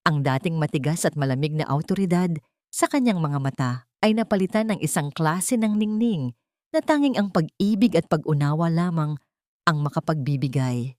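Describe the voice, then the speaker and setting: A woman reading a scripted romantic drama as narrator, in a sentimental, measured storytelling manner. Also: low-pitched, expressive, a little mellow, no echo, clean studio sound.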